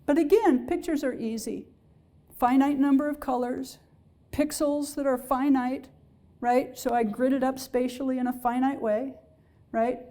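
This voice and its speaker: A woman lecturing on engineering to a public audience in a hall, her voice pitched high yet soft and mellow. She explains patiently, pausing often to ask whether her listeners follow. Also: steady tone. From Alaska-based. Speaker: engineering professor.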